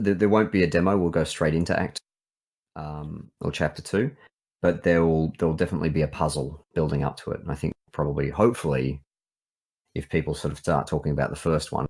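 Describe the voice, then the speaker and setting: Australian man, extremely low and husky, thinking aloud in relaxed developer talk. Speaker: visual novel writer and developer. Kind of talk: casual development chat.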